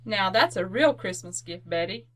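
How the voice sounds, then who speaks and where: A woman playing a helpful aunt who gives crisp, reassuring, instructive advice in an old-time radio commercial. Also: mid-range voice, lively intonation, moderate pace, a little hiss or hum, lightly acted. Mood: helpful, cheerful. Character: helpful, reassuring aunt selling laundry soap.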